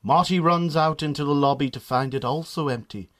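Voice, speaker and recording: A man's voice: on the high side, a little fast, a bit bright. A man with a Northern Irish accent reading scripted narration as the narrator of a story.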